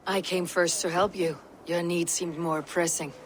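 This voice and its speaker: A steely, pragmatic Viking warrior woman played by an actress in a game cutscene. Her voice sits low and she speaks in measured, formal phrases. There is faint ambient noise behind her. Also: steady tone, natural voice. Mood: measured, curious. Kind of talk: video game cutscene acting.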